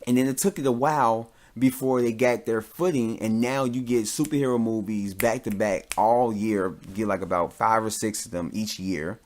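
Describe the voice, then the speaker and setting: A man with a fairly low voice talks as a podcast host. He rambles through his opinions casually, thinking aloud in loose, informal language with the odd bit of swearing. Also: moderate pace, hardly any pauses, darkish tone.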